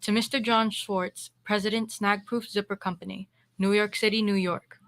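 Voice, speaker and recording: Cranky male boss for radio comedy woman performer: cutting, blustery, sharp-toned, mid-pitched. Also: flat delivery, normal speed, lightly acted. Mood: irritated, scornful. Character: irritable, insulting male office boss. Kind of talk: 1940s radio comedy acting.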